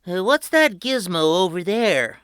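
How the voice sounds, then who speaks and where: A woman voicing a kind, handy painter who talks gently and a little hesitantly. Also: lowish voice, expressive, a little slow, smooth, no echo, studio quality, pitched above the performer's own voice. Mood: curious.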